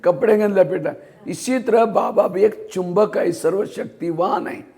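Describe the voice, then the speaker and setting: High-pitched gravelly male spiritual teacher. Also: a little slow, a little mellow. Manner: storytelling with rhetorical questions, answers them himself. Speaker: spiritual teacher giving a class. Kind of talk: Hindi spiritual discourse.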